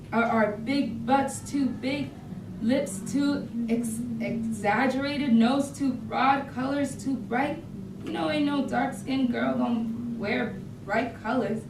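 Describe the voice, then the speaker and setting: A woman acting a poetic, searching and defiant character in a staged reading of a play. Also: fairly high pitch. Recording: echoey, distant, noisy live stage recording.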